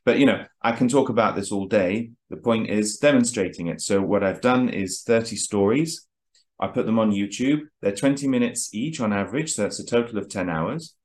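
Measured, lecturing man with a low voice. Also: a little mellow. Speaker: host. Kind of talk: explaining, then interviewing.

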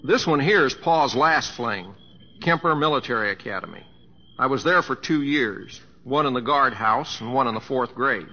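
An actor playing a folksy, humble, teasing young cowboy with a Southwestern American accent and a homespun, hesitant drawl, in old radio drama style. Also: male voice, medium pitch, expressive, normal speed, husky. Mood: wry, reminiscing. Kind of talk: 1940s radio acting. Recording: muffled old radio broadcast with music.

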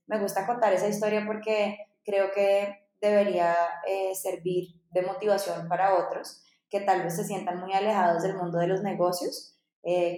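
A woman's voice: fairly low pitch, warm tone. A woman, telling her story as a podcast guest in a chatty way, with many fillers. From Colombia. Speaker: podcast guest, startup founder. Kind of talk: casual interview storytelling. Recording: echoey room.

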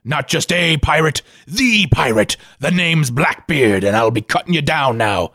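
An actor playing a surly, boastful pirate captain with a rough, gravelly voice and a stage pirate accent in a comic 1940s-style radio play. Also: male voice, on the high side, expressive, a little slow, bright, forceful tone, dry recording, studio quality, full character voice. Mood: menacing. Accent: put-on stage pirate.

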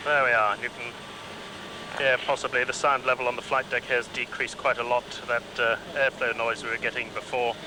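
A man's voice: medium pitch, little pitch movement, moderate pace, noisy background. A man working as an airline pilot, making cockpit callouts and talking on the flight deck in an archive recording. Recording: archive flight deck audio, loud background.

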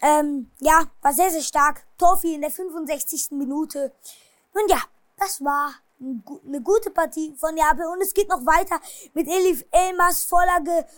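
A woman's voice: sits high, moderate pace, no echo, studio quality. A woman casually recapping football matches and transfer rumours, hesitating a lot and apologizing for her pronunciation. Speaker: football news vlogger.

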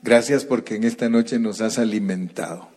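A man's voice: fairly low pitch, darkish tone. A man preaching a sermon at a night church service and then leading a fervent prayer. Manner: slow, emphatic preaching, rising to fervent prayer.

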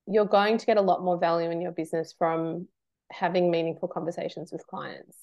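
A woman guest on a podcast, a trained marketer talking in an animated, emphatic way and laughing between points. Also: lowish voice, brisk, vocal fry, highs cut off. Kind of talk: casual interview chat.

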